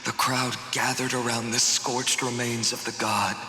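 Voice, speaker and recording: An actor playing a grave, weary swordsman burdened by fate, speaking in measured, dramatic video game dialogue that sometimes drops toward a whisper. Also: medium pitch, little pitch movement, bright, forceful tone, reverberant, long reverb tail, a little hiss or hum, low-quality recording. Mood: solemn, reflective.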